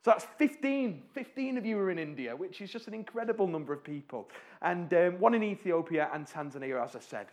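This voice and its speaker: A man speaks to a church congregation on behalf of a charity, friendly and chatty. He has a fairly high voice for a man, stumbles over words and restarts now and then, and keeps asking the room questions. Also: animated. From North West England. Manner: warm-hearted, chatty, asks the audience questions; fillers and restarts, pauses while slides change.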